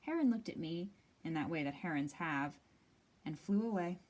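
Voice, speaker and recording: A woman reads a children's picture book aloud as a storytime host. Her delivery is expressive and deliberate, with acted-out phrases and dramatic pauses. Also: fairly low pitch.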